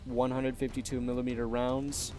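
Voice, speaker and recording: A man's voice: medium pitch, moderate pace, soft background music. A man casually walking through his game setup, rambling with fillers and correcting himself as he goes. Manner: relaxed, rambling, many fillers and self-corrections. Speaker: gaming YouTuber. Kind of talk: casual game deck walkthrough.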